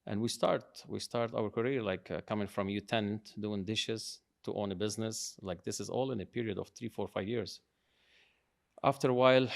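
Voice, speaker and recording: A man's voice: on the low side, gravelly. A middle-aged man telling his life story in a casual conversation, proud and rambling, often restarting his sentences. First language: English. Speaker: guest, father of the host.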